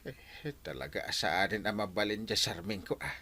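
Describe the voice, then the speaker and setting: An actor playing a cranky, clueless older village captain in a comic radio drama, sputtering and hesitant. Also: male voice, lowish voice, normal speed, sharp tone. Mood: hungry, cranky. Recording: radio drama with music behind.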